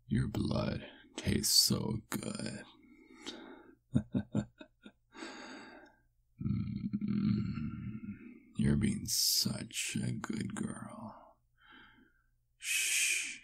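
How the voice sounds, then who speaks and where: An extremely low, husky male vampire played by a man murmurs to his lover in an intimate ASMR roleplay. He is doting and hungry, soothing as he goes, and speaks very slowly with a gravelly rasp. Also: darkish tone, full character voice.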